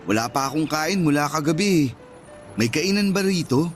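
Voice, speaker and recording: A man's voice: fairly high pitch, animated, normal speed, soft background music, close to an everyday voice. An actor playing an easygoing young man chatting and joking with friends in a Filipino radio drama. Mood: casual, teasing. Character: easygoing young male friend heading on vacation.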